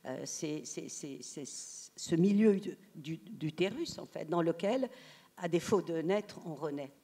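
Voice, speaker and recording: A woman writer on a literary panel, telling her own story in a soft-spoken, hesitant way, searching for her words. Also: deep voice, animated, measured pace, breathy edge. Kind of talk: personal storytelling in panel talk. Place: theatre stage at a public literary event.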